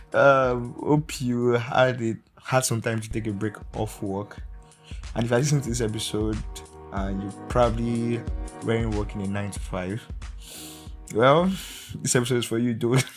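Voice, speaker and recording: A man's voice: mid-range voice, a little slow, pauses often. Young guy chatting solo on his podcast, rambly, keeps fixing what he said, laughs a lot, bit of music behind. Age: young adult. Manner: rambling, self-correcting, laughs often. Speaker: podcast host. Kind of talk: casual solo podcast talk.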